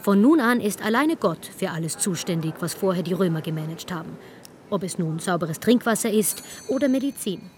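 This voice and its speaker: A woman reading scripted dramatic storytelling narration in a measured way with emphatic pauses. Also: fairly low pitch, expressive, a little mellow, slight background noise. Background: music.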